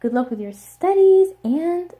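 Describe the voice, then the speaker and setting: A young woman with an American accent explaining pronunciation step by step in a casual video lesson, repeating words slowly. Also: very high-pitched, animated, narrow, tinny audio. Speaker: language teacher.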